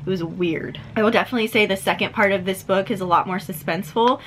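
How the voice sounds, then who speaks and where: A woman's voice: medium pitch, fast, a bit bright, slight background noise. A woman in her twenties giving a casual book review to the camera, chatty and filling her talk with "like", partly reading from notes. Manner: chatty, heavy use of "like", reads from notes. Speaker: book vlogger. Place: indoor room with dark backdrop.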